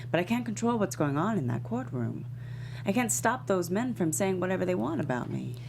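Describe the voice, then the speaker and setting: A woman's voice: medium pitch, lively intonation, a little fast, gravelly, background noise. A woman at a script table read playing a proud, wounded and principled female talk show host. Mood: frustrated, afraid.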